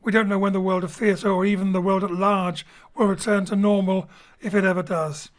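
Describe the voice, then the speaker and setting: A man hosting and introducing an audio drama from his home studio, relaxed and lightly joking. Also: sits high, fairly quick. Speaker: host and director. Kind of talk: friendly show introduction. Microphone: home studio mic.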